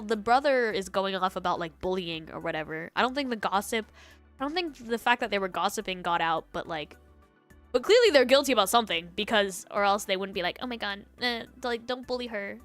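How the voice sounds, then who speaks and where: A woman hosting a livestream, chatting casually and reacting to online stories in a giggly, animated way. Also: a little high, no echo. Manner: giggly, animated, breaking into laughter. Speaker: livestream host.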